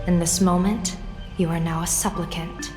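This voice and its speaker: A woman playing a calm, shrewd strategist in a video game, speaking in a measured, formal way. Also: lowish voice, close to an everyday voice. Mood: probing. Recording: echoey hall reverb, music behind.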